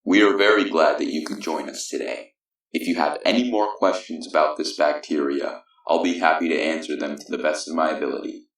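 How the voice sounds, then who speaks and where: A man's voice: very deep voice, a little fast, a bit bright. A man playing an official who politely and formally closes a press briefing. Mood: courteous. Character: very deep-voiced official closing a press briefing. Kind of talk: press conference statement. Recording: heavily echoing, distant from mic.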